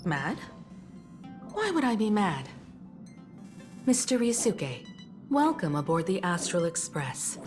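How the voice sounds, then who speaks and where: A composed, gracious woman navigator who speaks very slowly and courteously. Her pitch moves expressively. Soft game music sits behind her. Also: big pitch swings. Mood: polite. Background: game soundtrack music.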